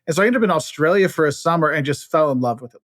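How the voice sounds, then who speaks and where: A man's voice: on the high side, very fast, no echo, studio quality. A middle-aged American man chatting casually as an interview guest, telling stories and acting out bits of dialogue. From Illinois, United States. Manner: animated storytelling, acting out quoted dialogue. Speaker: guest, travel expert. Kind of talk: casual interview chat with anecdotes.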